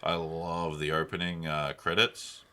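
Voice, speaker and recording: A man's voice: extremely low pitch, level tone, measured pace, bright, forceful tone. A man co-hosting a podcast, chatting casually about films in a rambling, slangy, enthusiastic way. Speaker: podcast co-host. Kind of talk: casual film discussion.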